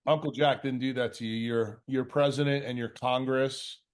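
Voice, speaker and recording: A man's voice: mid-range voice, fairly even intonation, moderate pace. A man lecturing off the cuff in answer to a question, blunt and confrontational, swearing freely and scolding with rhetorical questions. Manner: uses mocking imitations and rhetorical questions. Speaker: speaker leading a question session.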